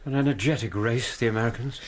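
An actor playing a genial, loyal doctor companion in a radio drama, with an affable, steady manner. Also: male voice, medium pitch, quick pace, slight background noise, natural voice. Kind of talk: 1990s radio drama acting.

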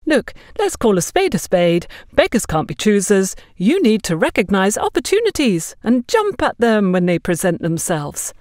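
A woman's voice: mid-range voice, sing-song, normal speed, few pauses, darkish tone, no echo, studio quality, natural voice. A woman voicing an overbearing, gossipy, smugly condescending woman in an audio story, talking down to others in an effusive way. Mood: bossy, exasperated. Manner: bossy, effusive, talks down to others.

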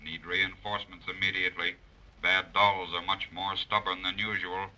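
Deep, forceful military radio operator. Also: male voice, level tone, normal speed. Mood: urgent, official. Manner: clipped, urgent, official. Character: brisk military radio operator reporting to headquarters. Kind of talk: 1930s radio acting. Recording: dull lo-fi 1930s radio recording.

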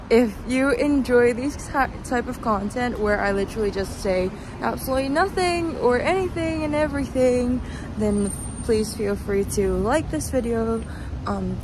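A young woman vlogs on a noisy city street, sounding tired. She talks at a slow, halting pace, rambling casually and poking fun at herself. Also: medium pitch. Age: young adult. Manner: halting, rambling, self-deprecating. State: tired, sleep deprived. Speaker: vlogger, student performer. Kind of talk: casual walking vlog, rambling chat. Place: outdoors on a city street at night, walking. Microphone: phone at arm's length. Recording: noisy outdoor street, traffic ambience.

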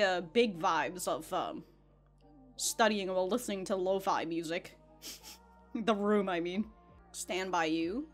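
A young woman hosting an anime reaction, chatting casually and bluntly, laughing often and swearing now and then, close to a studio mic in a small room. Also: medium pitch. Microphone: studio mic on stand close to the face. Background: faint anime music and audio.

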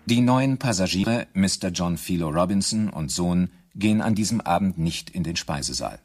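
A man narrating a German radio drama in a measured, matter-of-fact, scripted style. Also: deep voice, lively intonation, a little mellow, breathy edge. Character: sober, knowing male documentary-style narrator.

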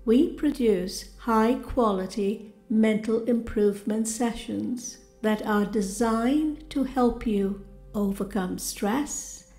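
A woman narrating a scripted guided meditation with soothing affirmations in a calm, gentle, deliberate manner. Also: on the high side. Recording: roomy reverberant voice over music. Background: music.

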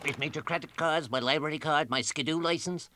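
An actor playing an excitable, indignant male cartoon scientist in a blustering, whiny manner. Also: fairly high pitch, a little fast, heavy background noise, lightly acted. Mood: chatty. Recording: loud music behind the voice.

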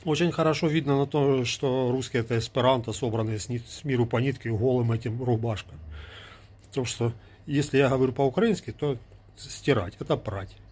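Husky-voiced man ranting to camera. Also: mid-range voice, brisk, a little mellow. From Ukraine. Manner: argumentative, sarcastic, lecturing. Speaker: vlogger. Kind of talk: casual talk to camera. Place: small indoor room with gear. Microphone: earbud mic, phone at arm's length.

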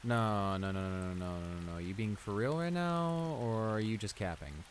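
Man acting shy, stammering low-voiced school bully, rain behind. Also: moderate pace, slight background noise, natural voice. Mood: disbelieving. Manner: stammering, hesitant, apologetic, easily embarrassed. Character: shy, flustered male school bully confessing a crush. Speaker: audio roleplay voice actor. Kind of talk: intimate improvised audio roleplay acting. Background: steady rain.

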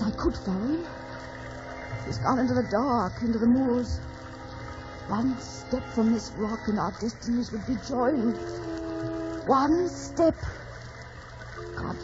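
Casting: lovesick, headstrong girl, very high pleading voice, vintage radio melodrama over prominent music. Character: anguished, headstrong young woman in love. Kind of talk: 1940s radio drama acting. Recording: dull old radio recording, music behind.

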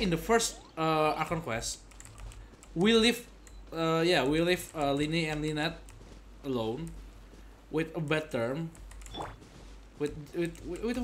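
Halting higher-voiced man streaming a game. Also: a bit bright, a little hiss or hum. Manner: halting, stammering, repeats words. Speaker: streamer playing and reacting to a game. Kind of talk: casual game commentary. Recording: game music playing behind. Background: game music and game dialogue.

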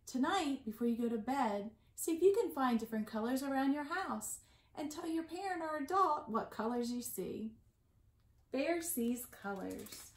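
A high-voiced woman in her forties reads a picture book aloud for little kids in an echoey room. She goes very slowly, with sing-song delivery and stretched, emphasized key words. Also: darkish tone. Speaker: library storytime reader. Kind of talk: picture-book read-aloud for young children. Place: small indoor room at home. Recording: echoey room, slightly distant mic.

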